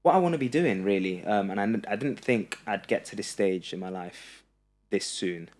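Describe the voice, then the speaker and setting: A young man from Egypt, a podcast guest, talking earnestly in a halting way with many fillers and restarts. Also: lowish voice, animated, a little mellow. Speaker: podcast guest, running content creator. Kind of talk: casual interview chat.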